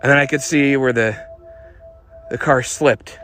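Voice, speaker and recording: A man playing a world-weary hot rod detective, narrating a noir monologue in a dramatic, deliberate, knowing manner. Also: mid-range voice, fairly even intonation, fairly bright tone, faint music behind, natural voice. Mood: ominous.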